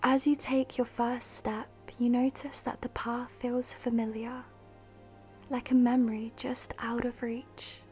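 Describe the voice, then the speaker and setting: A woman guiding a meditation in a soft, breathy, half-whispered voice, soothing and measured, with long pauses. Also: fairly high pitch, level tone. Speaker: meditation guide. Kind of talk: guided meditation, scripted narration. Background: music.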